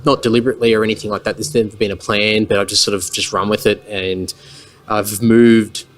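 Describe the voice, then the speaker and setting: Low-voiced Australian guest, relaxed. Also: male voice, brisk. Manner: relaxed storyteller, many fillers. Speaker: guest, band member. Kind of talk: casual chat. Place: pub with stools and round tables.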